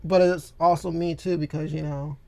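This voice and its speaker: A young man co-hosting a podcast in a studio, chatting casually in a rambling, animated way with lots of filler words. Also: a little high, normal speed, creaky, dry recording. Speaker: podcast co-host. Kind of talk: casual chat. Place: recording studio. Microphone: studio microphone.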